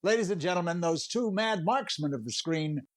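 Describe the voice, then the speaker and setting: Lively, courteous radio host. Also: male voice, sits high, normal speed. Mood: polite, upbeat. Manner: courteous, upbeat. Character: polite, eager male 1930s radio variety host. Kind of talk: 1930s radio show hosting.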